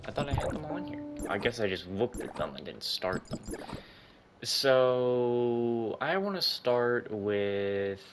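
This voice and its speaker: A man's voice: medium pitch, sing-song, takes it slowly, noisy background. A man giving casual, rambling gaming commentary, reacting aloud as he plays. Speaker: gaming YouTuber. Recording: loud game music behind commentary.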